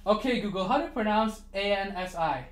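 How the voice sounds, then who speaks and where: A man's voice: sits high, animated, brisk. A man whose first language is not English, chatting casually on a livestream, ranting animatedly and swearing freely.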